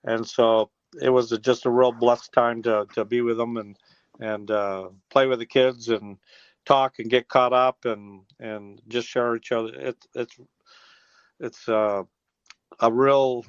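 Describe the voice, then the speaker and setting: Male, 60s, American. Folksy, meandering interviewee, mid-pitched, over a dull phone connection. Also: steady tone, unhurried, smooth, no echo. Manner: folksy, rambling with trailing restarts. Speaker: interview guest, teacher and former air traffic controller. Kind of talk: casual phone interview, reminiscing. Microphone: telephone.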